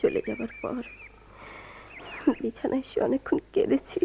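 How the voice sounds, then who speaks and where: A woman's voice: high-pitched, steady tone, moderate pace, creaky, dark tone. An actress playing a sorrowful, loyal young woman in a Bengali radio drama, with plaintive, emotional delivery. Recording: tinny, noisy lo-fi radio recording.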